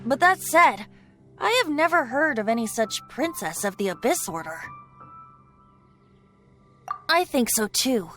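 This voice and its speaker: A woman's voice: on the high side, very animated, measured pace, pauses often, fairly bright tone. A woman voicing a youthful male bard who is secretly an ancient wind god in a video game, breezy and poetic, with music underneath. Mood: pensive, serious. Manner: breezy, poetic, shifts between playful and solemn. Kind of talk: scripted video game character acting.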